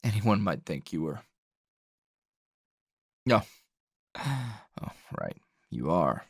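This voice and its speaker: A man playing a gruff, flirtatious male anime hero in an intimate ASMR roleplay, gravelly and husky, teasing and halting. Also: deep voice, sing-song, dry recording, clean studio sound, exaggerated voice. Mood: flustered, flirtatious. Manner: slow, teasing, halting with sighs and chuckles.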